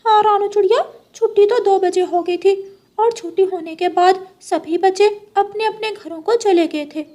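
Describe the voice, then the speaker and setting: Cartoon parrot teacher, done by a woman: very high-pitched, earnest, insistent. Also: moderate pace, mellow. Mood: matter-of-fact. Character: parrot schoolteacher, earnest and defensive.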